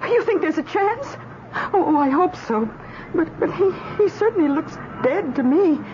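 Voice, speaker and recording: A high, fluttery, anxious bystander. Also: female voice, animated, moderate pace. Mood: flustered concern. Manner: flustered, repetitive, stammering. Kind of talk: radio drama acting. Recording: dull, noisy radio broadcast with ambience.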